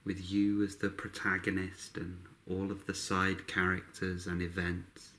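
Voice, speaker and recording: A man guiding a meditation, speaking calmly in short, unhurried phrases with long pauses between them. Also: sits low. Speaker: meditation guide. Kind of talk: guided meditation narration.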